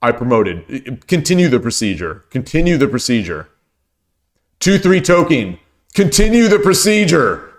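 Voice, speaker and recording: Hoarse man thinking aloud over a game. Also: medium pitch, sing-song, normal speed. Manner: thinks aloud, repeats phrases. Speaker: shogi streamer. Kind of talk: casual game commentary.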